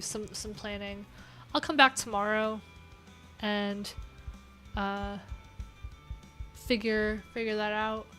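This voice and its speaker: Casual woman streamer, mid-pitched, rambly and filler-heavy, soft music underneath. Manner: chatty, rambling, many fillers and restarts. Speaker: live coding streamer. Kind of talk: casual livestream chat.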